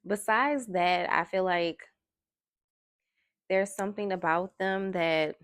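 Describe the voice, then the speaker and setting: A soft-spoken woman doing a tarot card reading, talking in a slow, musing way. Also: lowish voice, no echo, clean studio sound. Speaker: tarot reader. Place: indoors at a table.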